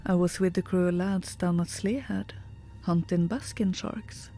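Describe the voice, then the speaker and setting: A man's voice: high voice, fairly even intonation, a little slow, warm tone, soft background music. A woman voicing a young fisherman from Cork telling of surviving a storm at sea. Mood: shaken.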